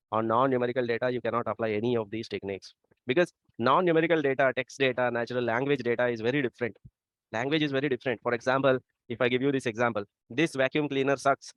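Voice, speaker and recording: Man, indian accent, fast classroom lecture, dull dry lo-fi sound. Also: mid-range voice, no echo. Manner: quick, asks the class for answers. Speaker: teacher.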